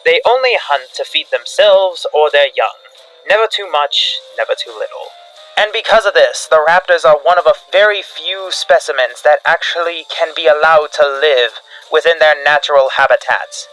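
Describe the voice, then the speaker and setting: Man, bright tense voice, a little high-pitched, scripted horror narration, dramatic instructional tone, soft music behind. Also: normal speed, poor audio. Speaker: narrator of a fictional creature field briefing. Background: background music.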